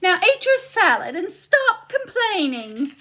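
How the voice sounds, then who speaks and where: A woman voicing a bossy giant wife who scolds in a shrill voice. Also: very animated, slow, bright, forceful tone, full character voice. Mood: scolding.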